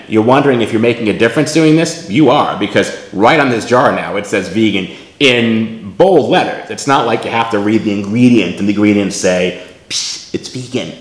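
A man's voice: lowish voice, reverberant. A middle-aged man hosting a casual kitchen vlog, chatty and often tagging his lines with "right?" while he shows food to the camera. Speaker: vlog host. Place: home kitchen, indoors.

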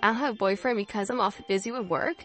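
A woman's voice: medium pitch, racing, soft background music, close to an everyday voice. Polite, inquisitive young woman voiced by an actress. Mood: pleasant, curious. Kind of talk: visual novel character dialogue.

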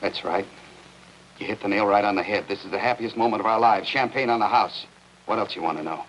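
A man's voice: fairly low pitch, fairly quick, a bit bright, natural voice. A middle-aged American man playing a protective, bitter husband in a 1950s TV drama, curt and emphatic. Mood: defiant. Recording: noisy old 1950s television soundtrack.

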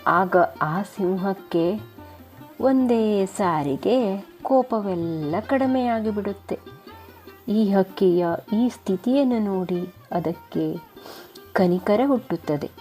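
Slow, mellow woman storyteller. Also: mid-range voice, lively intonation, soft background music. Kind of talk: narrating a children's moral story.

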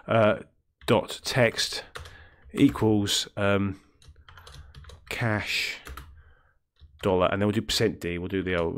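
A man with a deep, throaty voice from illness, talking through a coding tutorial in a rambling way, with many ums and self-corrections. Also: a bit bright, a little hiss or hum. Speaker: game development tutorial host. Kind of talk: screen-recorded coding tutorial.

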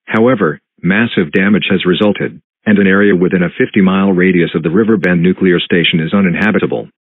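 A low, husky synthetic man's voice delivers a formal emergency broadcast announcement. The delivery is flat and mechanical, with a robotic quality. It sounds dull and lo-fi, like a muffled TV alert. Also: quick pace, dry recording.

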